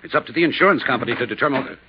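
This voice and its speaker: An actor playing an irritated, defensive physician in a 1950s radio drama, speaking in a testy, emphatic way. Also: male voice, mid-range voice, expressive, very fast, close to an everyday voice. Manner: testy, emphatic, put-upon. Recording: noisy, muffled old radio recording.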